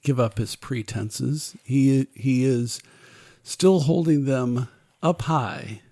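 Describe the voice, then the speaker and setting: A man's voice: medium pitch, slow pace, mellow, no echo, clean studio sound. A man co-hosting a podcast, discussing a book in a casual, opinionated, sardonic way and often circling back over his phrases. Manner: sardonic, dismissive. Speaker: podcast co-host.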